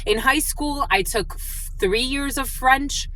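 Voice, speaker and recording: A woman's voice: sits high, slow pace, bright, forceful tone, poor audio. A woman whose first language is Spanish and whose family is from the Dominican Republic. She is a spiritual channel who opens with a prayer and then chats her way through a tarot reading with many fillers. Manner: chatty and rambling, with frequent fillers.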